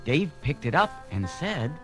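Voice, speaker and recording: A man reads a children's story in a lively, varied voice at a middle pitch, with music playing behind him. The delivery is scripted read-along narration. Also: a little slow, low-quality recording.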